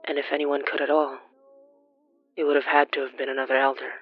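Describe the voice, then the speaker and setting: A narrator reading scripted horror fiction in a formal, measured storytelling manner. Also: medium pitch, flat delivery, lo-fi sound, soft background music.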